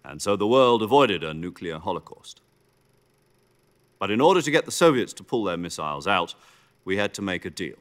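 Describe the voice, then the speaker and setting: An actor playing a grave middle-aged intelligence officer briefing an agent in a game cutscene, speaking in a measured, solemn way. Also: male voice, deep voice, big pitch swings. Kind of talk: dubbed video game cutscene. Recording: game audio with loud score.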